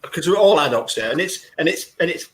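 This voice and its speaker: A man's voice: fairly high pitch, expressive, a little fast. A man hosting a live chat, rambling casually with many repeated words and frequent chuckles. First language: English.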